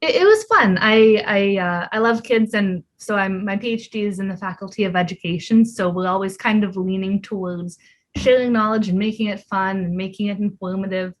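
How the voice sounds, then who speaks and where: A woman's voice: mid-range voice, brisk, rough recording. A young woman, a PhD student being interviewed over a video call, answering in a friendly, thoughtful way with many fillers. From Ontario, Canada. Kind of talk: casual interview.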